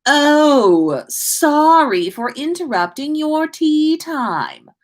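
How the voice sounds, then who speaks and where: Sneering rich schoolboy, played by a woman, patronizing drawl, drawn-out words, storybook villain. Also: sits high, sing-song, voice pushed up, heavily acted. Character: sneering, spoiled teenage schoolboy bully. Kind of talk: storybook character reading.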